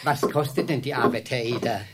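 A man's voice: medium pitch, brisk, heavy background noise, lightly acted. A man playing a polite, easily flustered customer in a children's radio play, stammering and apologetic.

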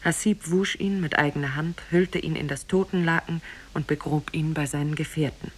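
An actress as the narrator of an Arabian fairy tale, grave and measured in 1950s radio storytelling style. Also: female voice, low voice, fairly even intonation, slightly rough. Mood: measured, solemn. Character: solemn female teller of an Arabian Nights tale. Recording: 1950s radio recording from cassette.

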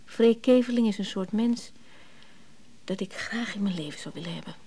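Dreamy cool lady, radio drama, soft dark breathy voice. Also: mid-range voice, normal speed. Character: dreamy, cool woman parrying a man's offer. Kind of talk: intimate radio drama acting.

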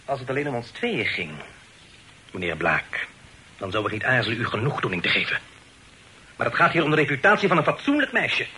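An actor playing a haughty, hot-tempered young gentleman with a formal, clipped manner in a Dutch radio play. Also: medium pitch, sing-song, fairly quick, pauses often. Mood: indignant. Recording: noisy old radio play recording.

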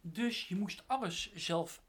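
A man's voice: high voice, takes it slowly, fairly bright tone, husky. A man interviewing conversationally, hesitant and searching for his words.

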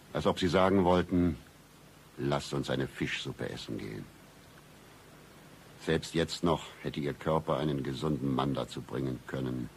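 An actor playing a cynical, deadpan hard-boiled private detective in a satirical noir radio play, with a husky voice. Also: male voice, slight background noise, full character voice. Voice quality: very deep, husky, gravelly. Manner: deadpan, accusing, melodramatic.

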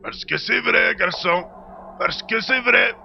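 An actor playing a gruff, anxious old space pirate sidekick in a science-fiction audio drama, with a creaky voice and animated delivery. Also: male voice, high-pitched, slow, lo-fi sound, faint music behind, full character voice. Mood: blustering concern. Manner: animated, repeats himself, calls the hero "boy".

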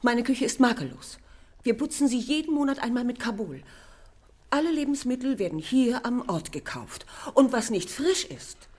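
A proud Victorian household cook speaks in a high, lively swinging voice. She is emphatic and self-righteous, always on the defensive, in radio drama style. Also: moderate pace, slightly rough. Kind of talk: German radio crime drama acting.

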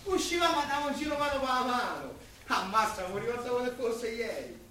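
An actor playing an affectionate, teasing working-class Roman husband of the wartime years in a colloquial stage drama. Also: male voice, very high-pitched, animated, brisk, bright, forceful tone, exaggerated voice. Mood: coaxing, nostalgic. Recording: very echoey stage, distant, noisy.

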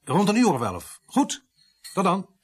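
An actor in a radio play as a middle-aged, grumbling veteran village police sergeant, speaking with dry, gruff sarcasm. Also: male voice, sits high, huge pitch swings, measured pace, exaggerated voice. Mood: busy, jovial. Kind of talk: radio drama acting.

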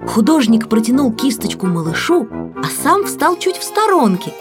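A woman reads a children's story as an audiobook narrator, in an expressive scripted style. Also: fairly high pitch, normal speed. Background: background music.

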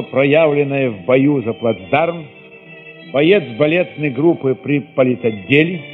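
A man narrating an audio drama in the first person, expressive and solemn, with weighty pauses. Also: a little high, in a large hall, narrow, tinny audio.

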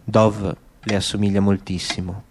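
A man voicing a calm, guarded older immigrant woman in a slow, quiet, measured way for a radio theatre reading. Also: fairly low pitch, loud music behind the voice, pitched below the performer's own voice, close to an everyday voice.

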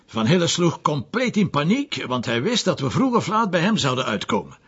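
An actor playing a weary middle-aged Flemish police commissioner, gravelly and coaxing, in a TV drama. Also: male voice, fairly high pitch, big pitch swings, normal speed, fairly bright tone, full character voice. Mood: explaining. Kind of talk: TV drama acting. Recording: dull lo-fi TV audio.

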